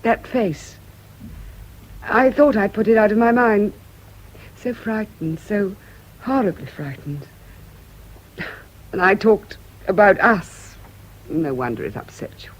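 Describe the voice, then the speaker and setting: A woman's voice: medium pitch, expressive, slow, pauses often, rough recording. An actress with a British accent playing an intense, lovelorn, repressed woman in a fervently theatrical radio drama. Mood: remorseful, haunted.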